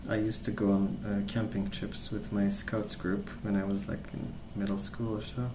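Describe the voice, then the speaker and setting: A man speaking softly as an ASMR host, rambling through stories in a hesitant, pausing, unhurried way. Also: lowish voice, flat delivery, background noise. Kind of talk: soft-spoken ASMR rambling.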